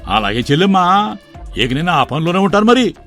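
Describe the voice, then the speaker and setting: A man's voice: high-pitched, very animated, normal speed, fairly bright tone, faint music behind, full character voice. A man playing a scheming middle-aged village uncle in a dramatised moral story, sly and falsely affectionate, with folksy rustic speech.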